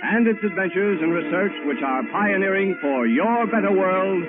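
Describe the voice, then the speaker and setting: A man's voice: sits high, expressive, moderate pace. An American man announcing a 1940s military radio program, reading a script in a formal, declamatory manner. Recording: narrowband 1940s radio broadcast with music.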